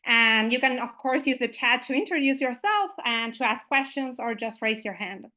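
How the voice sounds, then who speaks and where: A woman's voice: a little high, lively intonation, moderate pace, sharp tone. A woman from Uruguay, opening an online meetup as its host, friendly and full of "uh" and "I mean" fillers, over a video call microphone.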